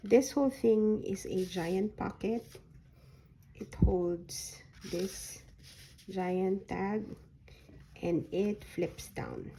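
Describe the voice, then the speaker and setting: A woman with a hoarse voice that is recovering from a sore throat, showing a handmade journal folio in a soft, unhurried way with frequent ums. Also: mid-range voice, a little hiss or hum, rough recording. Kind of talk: slow, casual craft flip-through.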